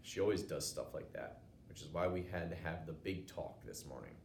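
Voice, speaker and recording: A man's voice: sits low, big pitch swings, moderate pace, vocal fry, a little mellow. An American man narrating a children's storybook, reading aloud as a teacher would. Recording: roomy echo, noticeable background noise.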